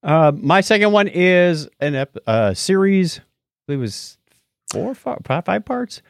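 A man co-hosting a podcast, chatting casually and recounting things in a rambling, animated way. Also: sits high, measured pace, gravelly, dry recording, clean studio sound. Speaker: podcast co-host. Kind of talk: casual podcast chat, recounting a nature documentary.

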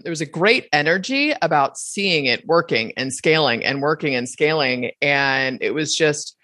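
A middle-aged woman with a notably low voice talks frankly with her business partner, animated and full of restarts. Her voice comes through dry and close to the mic, with no room echo. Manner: animated and candid, with many restarts. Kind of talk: casual conversation.

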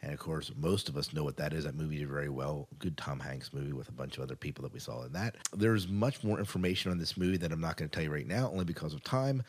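A man's voice: a bass voice, fast, hardly any pauses, breathy edge. A man co-hosting a podcast, giving entertainment news in a casual, conversational way with informal asides. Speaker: podcast co-host.

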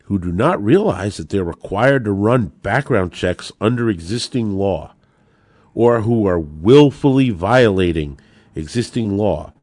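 American man, podcast host, low voice, very animated intonation, emphatic rhetorical commentary, addresses listeners directly, dry close sound. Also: measured pace, no room sound. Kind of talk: political commentary on gun law.

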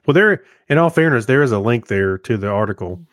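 A man's voice: medium pitch, expressive, fairly quick, dry recording, clean studio sound. A man chatting casually as the co-host of a podcast. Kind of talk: casual chat.

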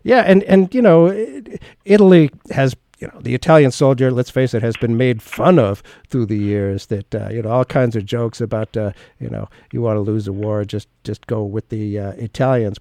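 Man, radio talk host, warm mellow tone, dry close studio sound, rambling casual questions. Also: medium pitch, no echo. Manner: rambling, many fillers and restarts. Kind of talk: casual interview chat.

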